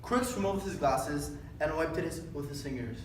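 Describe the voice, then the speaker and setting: A man's voice: on the high side, expressive, normal speed. A young man reading scripted story narration aloud in a readers' theatre. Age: young adult. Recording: very echoey room, distant mic, faint noise.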